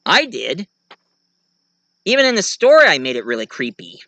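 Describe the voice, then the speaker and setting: A man's voice: on the high side, wildly swooping pitch, normal speed, gravelly, bright, forceful tone, dry recording, lo-fi sound. A man talking casually as a video creator, telling about his own writing in a rambling, enthusiastic way. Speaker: video creator and amateur writer. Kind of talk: casual vlog talk.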